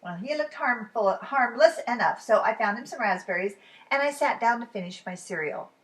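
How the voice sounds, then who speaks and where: A woman reading a children's story aloud as expressive storytime narration, animated and with dramatic pauses. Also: on the high side, poor audio. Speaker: storybook reader.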